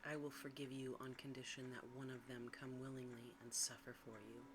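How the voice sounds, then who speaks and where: The narrator voices a stern, threatening male beast in a hushed ASMR storybook reading, with blunt, formal old-fashioned speech. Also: medium pitch, little pitch movement, a little fast, background noise, lightly acted.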